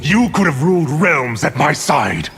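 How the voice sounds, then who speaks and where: An actor playing an arrogant, scheming evil sorcerer in a video game, with a haughty, menacing, theatrical delivery. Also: male voice, fairly high pitch, sing-song, unhurried. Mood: scornful. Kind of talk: video game villain dialogue. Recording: echoing hall reverb over music.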